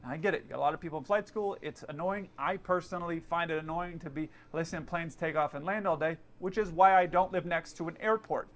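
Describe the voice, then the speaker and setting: A man's voice: fairly high pitch, fast talker, few pauses. A man giving casual, opinionated commentary, explaining aviation procedures in plain terms. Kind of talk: explanatory commentary on air traffic radio.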